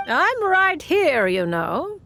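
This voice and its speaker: A woman playing an imperious, cryptic seer witch in a video game, measured and condescending. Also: sits high, sing-song, sharp tone, soft background music, heavily acted. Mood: dry, knowing.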